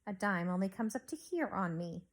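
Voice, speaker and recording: A woman's voice: mid-range voice, lively intonation, brisk, natural voice. Woman voicing a tiny, proud, indignant storybook mouse. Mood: indignant, tart. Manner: indignant, tart, precise. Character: tiny proud male mouse in sailor suit, easily offended.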